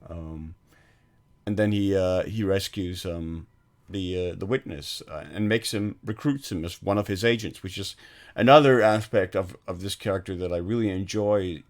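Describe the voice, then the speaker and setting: A man co-hosting a film podcast, casually recounting scenes in a halting, piecemeal way. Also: low-pitched. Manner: halting, piecing thoughts together in fragments.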